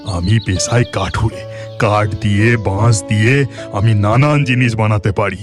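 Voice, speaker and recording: A man's voice: fairly low pitch, unhurried, music in the background, close to an everyday voice. Man acting a kindly poor village woodcutter. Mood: gentle, reassuring. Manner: gentle, reassuring, plain-spoken. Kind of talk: Bengali fairy-tale cartoon dialogue.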